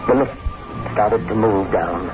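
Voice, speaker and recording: A man playing an anxious, claustrophobic middle-aged office worker in a 1960s radio drama, nervous and halting, on a vintage recording. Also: on the low side, loud music behind the voice, lightly acted, poor audio. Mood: tense, fearful. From South Africa. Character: anxious, claustrophobic fifty-two-year-old office man. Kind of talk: 1960s radio drama acting, first-person narration.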